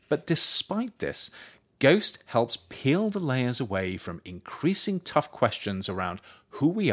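Man narrating with widely swinging intonation. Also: lowish voice. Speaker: author reading own book aloud. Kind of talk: scripted audiobook narration.

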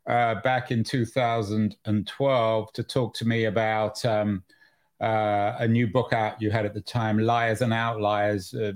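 Male interview host, medium pitch, conversational but halting, hesitant phrasing with frequent ums. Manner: hesitant, halting questions broken by many ums.

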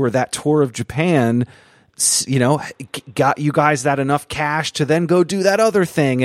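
A man's voice: mid-range voice, a little mellow, no room sound, clean studio sound. A man hosting a podcast interview, talking casually and building long, thoughtful points. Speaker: podcast host.

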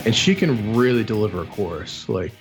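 A man's voice: lowish voice, very animated, fairly quick, faint music behind. A man co-hosting a podcast, talking through an album in a thoughtful, meandering way with many restarts. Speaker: podcast co-host. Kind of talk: casual album discussion.

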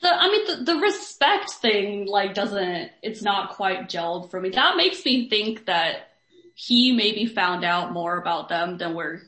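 A woman's voice: medium pitch, expressive, measured pace, fairly bright tone, reverberant. A woman in a casual book discussion, tentative and questioning as she works out her reaction. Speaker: podcast host discussing short stories.

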